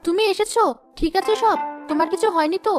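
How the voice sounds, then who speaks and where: A woman voicing an anxious, questioning young village wife in an animated folk tale. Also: very high voice, quick pace, music in the background. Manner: worried, questioning.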